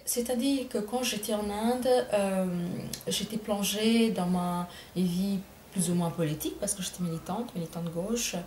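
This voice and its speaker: A middle-aged woman from India speaks with an Indian accent, choosing her words slowly and reworking her phrases as she goes. Her voice carries some room echo, and a faint ambient hum sits underneath. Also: mid-range voice, steady tone, darkish tone. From Bengal, India. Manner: thoughtful, many hesitations and self-corrections. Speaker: writer. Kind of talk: reflective interview answers.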